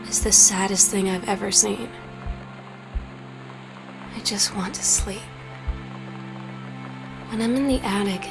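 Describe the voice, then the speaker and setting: A woman's voice: medium pitch, natural voice. A woman voicing a wistful young woman's game diary. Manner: soft and confiding. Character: young woman writing to her sister, wistful. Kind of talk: narrated game journal entries. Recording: with music underneath.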